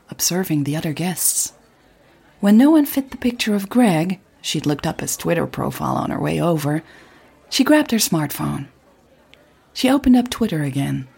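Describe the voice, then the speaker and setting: A woman reading scripted audio drama narration in a husky voice. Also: medium pitch, a little mellow.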